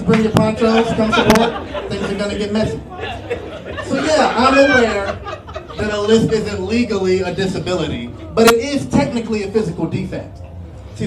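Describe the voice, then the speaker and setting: A nervous man with a lisp doing a self-deprecating stand-up comedy set on a club stage. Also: high voice. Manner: self-deprecating, rambling asides to the crowd. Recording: roomy club sound from a distance, loud crowd laughter. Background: audience laughter and room noise.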